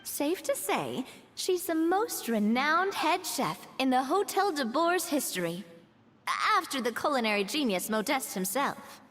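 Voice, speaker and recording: A woman's voice: sits high, very animated, a little slow, a bit bright, a little hiss or hum, exaggerated voice. A woman voicing a confident, friendly young woman in a video game who talks in a reassuring way. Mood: explaining. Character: confident young woman, warm and gracious leader.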